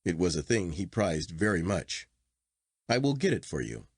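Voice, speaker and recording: Bereaved older English lady for a storybook, read by a male narrator. Gentle, sorrowful, formal, with expressive rise and fall. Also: sits low, normal speed, husky, dry recording, studio quality, exaggerated voice.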